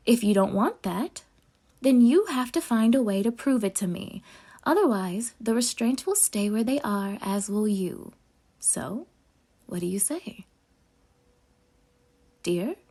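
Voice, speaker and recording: A woman acts out a yandere girlfriend for an intimate audio roleplay. Her voice is fairly high, with plenty of fry and a breathy, husky edge. She coos with possessive sweetness and sinks into menacing near-whispers. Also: full character voice. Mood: coaxing, possessive. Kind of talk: intimate ASMR roleplay acting.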